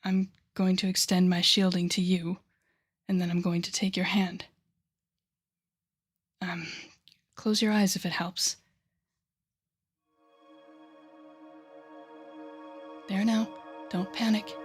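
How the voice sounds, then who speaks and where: A woman playing an intense, coaxing female telepathic villain with a secretly tender side in an intimate audio roleplay, speaking softly and often whispering with deliberate dramatic pauses. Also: fairly low pitch, flat delivery, husky. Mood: soothing, coaxing. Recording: background music under the voice.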